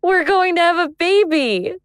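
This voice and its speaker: A woman's voice: very high-pitched, lively intonation, measured pace, no echo, studio quality. A woman playing a loving, hopeful young woman in an audio drama, tentative and tender with emotion. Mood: joyful, tender. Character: nervous, hopeful, loving young woman sharing big news.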